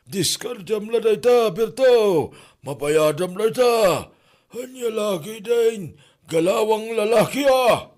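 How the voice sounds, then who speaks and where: A man's voice: sits high, animated, takes it slowly, darkish tone. A man playing an older, easygoing neighbour giving advice in an Ilocano comedy drama, with an Ilocano accent. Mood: curious, advising.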